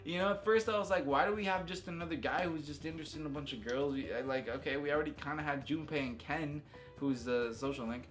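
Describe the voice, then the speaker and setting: A man's voice: on the high side, quick pace, a bit bright, soft background music. A man giving casual, unscripted video game commentary as a host, talking enthusiastically with slang and emphatic exclamations.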